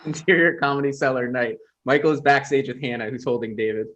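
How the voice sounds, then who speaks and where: Man narrating, average pitch. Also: fast, fairly bright tone. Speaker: stage directions narrator. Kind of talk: scripted narration, comedy table read.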